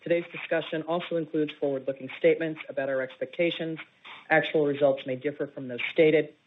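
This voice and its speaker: A woman's voice: sits low, steady tone, a little fast. A woman executive reads formal prepared corporate remarks on a conference call. The playback is dull and lo-fi, with faint noise. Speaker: investor relations executive. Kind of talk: formal scripted corporate reading.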